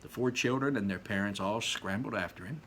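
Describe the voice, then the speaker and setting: A man's voice: lowish voice, brisk, a bit bright, slight background noise, rough recording. A man reading a children's book aloud as a storyteller, with dramatic emphasis on descriptive words and casual asides.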